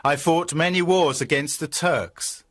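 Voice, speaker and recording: A man's voice: fairly high pitch, measured pace, fairly bright tone, pitched above the performer's own voice. A man voicing a tired crusader knight back from war, formal and courteous in a storybook reading.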